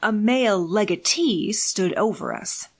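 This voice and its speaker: Measured woman narrating fiction, medium pitch. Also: husky. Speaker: audiobook narrator.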